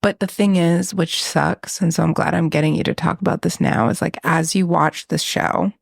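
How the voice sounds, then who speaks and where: Chatty woman with a low gravelly voice. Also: steady tone, normal speed, no echo, studio quality. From New England. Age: 30s. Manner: chatty, self-deprecating, laughs often. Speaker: podcast co-host. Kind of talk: casual chat.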